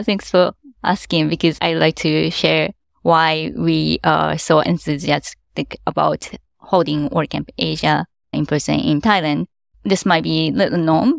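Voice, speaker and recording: A woman's voice: sits low, vocal fry, no room sound, studio quality. A woman whose first language is Japanese, answering interview questions in careful non-native English as an event organizer, with light laughs as she explains.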